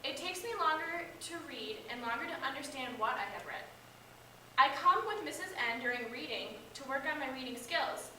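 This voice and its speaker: A woman's voice: high-pitched, lively intonation, moderate pace, sharp tone. A young American woman playing a shy, embarrassed schoolboy in amateur reader's theater, reading scripted lines carefully. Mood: honest, subdued. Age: young adult. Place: echoey indoor room. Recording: echoey room, distant microphone, background hiss.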